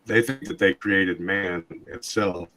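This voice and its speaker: A man hosting a podcast in casual chat, his voice hoarse and scratchy from a long night of talking. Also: low-pitched, slow pace, bright, forceful tone. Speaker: podcast host.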